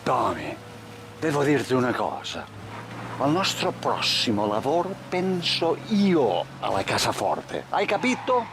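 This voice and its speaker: A man's voice: medium pitch, sing-song, moderate pace, noisy background. An actor playing a proud, irritable Italian gangster who scolds his partner in a game cutscene. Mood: scolding. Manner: scolding, exasperated. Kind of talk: 1930s gangster game dialogue.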